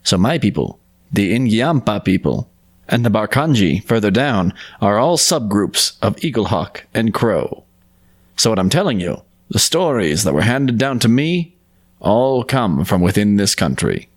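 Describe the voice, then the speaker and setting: A man co-hosting a podcast, discussing and narrating folk tales in an earnest, unhurried way. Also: on the low side, very animated. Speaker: podcast co-host and storyteller.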